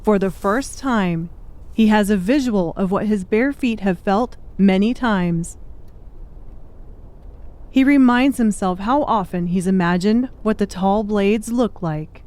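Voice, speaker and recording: A woman's voice: medium pitch, slow pace, a little mellow. A woman reading scripted, dramatic audiobook narration.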